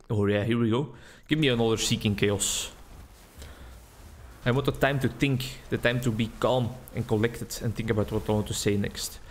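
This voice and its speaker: A young Belgian-accented man talks through his gameplay at an easy, meandering pace, thinking aloud between remarks. His voice sits at an ordinary middle pitch. A faint hiss of noise runs underneath the recording. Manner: rambling, relaxed, thinking aloud. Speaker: gaming video creator. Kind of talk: casual gameplay commentary. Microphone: desk mic with webcam.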